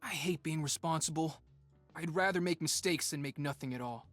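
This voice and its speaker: A man's voice: a little high, moderate pace, a bit bright, no room sound, soft background music, lightly acted. A man playing a cocky, determined young male inventor and street fighter in punchy, brash video game lines. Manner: punchy, brash one-liners.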